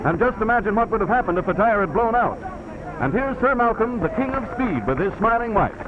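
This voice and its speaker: High-voiced 1930s newsreel man, dramatic. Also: animated, fairly quick. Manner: declamatory, dramatic. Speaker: newsreel narrator. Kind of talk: 1930s newsreel scripted narration. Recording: scratchy 1930s newsreel, dull sound, loud music. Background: loud music.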